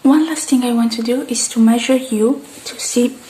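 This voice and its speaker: A woman speaking softly and gently in a breathy, partly whispered ASMR roleplay, murmuring to the listener. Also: fairly high pitch, steady tone, moderate pace, slight background noise, low-quality recording. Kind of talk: soft-spoken ASMR roleplay as a technician tending a robot.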